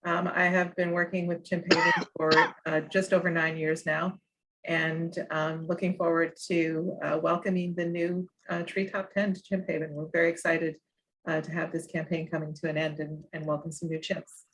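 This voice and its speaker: A woman, a sanctuary colony director, introducing herself on a video call with hesitant pauses. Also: low-pitched, little pitch movement, a bit bright, rough recording. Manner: hesitant, with many fillers.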